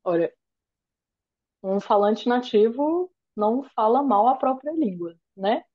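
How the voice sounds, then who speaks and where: A woman's voice: on the high side, lively intonation, takes it slowly, dull audio. A woman from Brazil giving an online lecture on language teaching. She explains step by step and keeps checking in with "né?". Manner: thoughtful, explanatory, frequent "né?" tags. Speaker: course lecturer.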